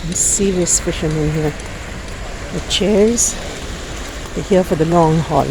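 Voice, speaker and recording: A woman telling a folktale outdoors in an expressive, repetitive storytelling manner. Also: low voice, takes it slowly, background noise. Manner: expressive, repetitive, sound effects. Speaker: storyteller, host. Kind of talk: oral folktale storytelling to camera. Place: outdoors on a beach.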